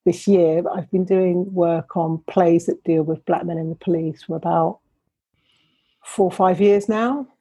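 A middle-aged woman talks in a reflective, measured way, with a husky, breathy edge to her voice. Her tone is dark and mellow, and she sits low in her range. The sound is dry and close, with no room around it. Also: steady tone, no room sound, poor audio. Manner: thoughtful, measured, frequent restarts. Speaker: academic guest. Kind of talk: reflective interview conversation.

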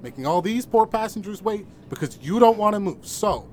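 A man's voice: sits high, lively intonation, a little fast, a little hiss or hum. Stern, officious male federal agent. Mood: stern, impatient. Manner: clipped, insistent, formally polite commands. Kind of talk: audio drama dialogue.

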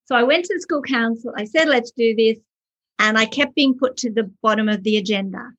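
A woman's voice: a little high, brisk, a bit bright, muffled recording. A woman author and early childhood educator, answering podcast interview questions with earnest, passionate storytelling.

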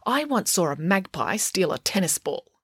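A woman voicing an excitable, indignant middle-aged mother who tells tall tales in an audiobook, with dramatic emphasis. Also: mid-range voice, moderate pace, breathy edge, no room sound, studio quality. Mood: insistent. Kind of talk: audiobook character dialogue.

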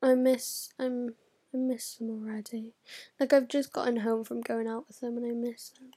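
A woman's voice: on the high side, flat delivery, moderate pace, warm tone, breathy edge. A teenage girl, rambling casually to camera, swearing a lot and dropping into whispered asides. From United Kingdom. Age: teens. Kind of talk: casual vlog chat.

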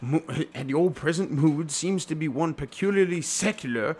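Fussy, pious old parson played by a man, highish voice, put-on accent, grandiose delivery. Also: moderate pace, darkish tone, slight background noise, close to an everyday voice. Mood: prim, formal. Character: fussy pious old country clergyman, ornately formal.

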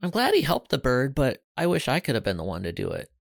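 Man voicing an anxious little schoolboy, high and fretful, pleading tone, lively pitch. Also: fast talker, creaky, dry recording, studio quality, heavily acted. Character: shy anxious young schoolboy.

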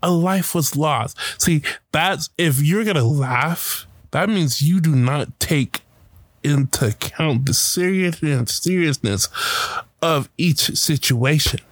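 A man telling a personal story about a protest in a casual, halting way, with many fillers and restarts. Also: on the high side, no room sound. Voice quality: loud voice.

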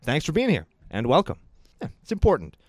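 Lively joking male podcast co-host. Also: a little high, a little fast, no room sound. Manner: joking, dry teasing. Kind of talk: casual chat.

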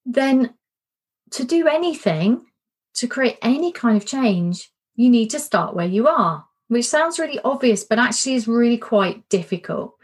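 A middle-aged woman coach giving a casual spoken workshop, candid and self-reflective, her voice a little worn and hoarse. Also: a little high, expressive, measured pace. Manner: warm, candid, self-reflective, repeats words for emphasis. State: slightly losing her voice. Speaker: coach and podcast host.

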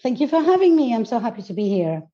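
Woman in her forties, from Greece, non-native English, mellow dark tone, halting restarts, dull lo-fi sound. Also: on the high side, big pitch swings. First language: not English. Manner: warm, searching for words, frequent restarts and laughs. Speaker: guest, goddess teacher and coach. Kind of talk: casual interview chat.

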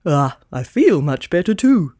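A woman's voice: fairly low pitch, big pitch swings, a little slow, mellow, dry recording, clean studio sound. A woman voicing a kindly, fretful old male Santa in a children's storybook with fussy, old-fashioned phrasing. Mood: pleased.

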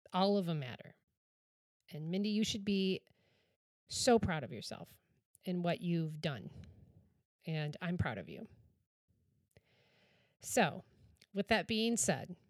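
Lady doing a heartfelt podcast intro, kinda slow n low, really sincere. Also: no room sound, clean studio sound. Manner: earnest, affectionate, short deliberate phrases. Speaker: podcast presenter giving an introduction.

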